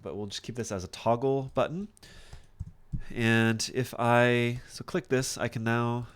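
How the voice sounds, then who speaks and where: A man teaching software in a casual screen-share tutorial, thinking aloud informally as he demonstrates each step. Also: mid-range voice, fairly even intonation, unhurried, clean tone. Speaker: software instructor.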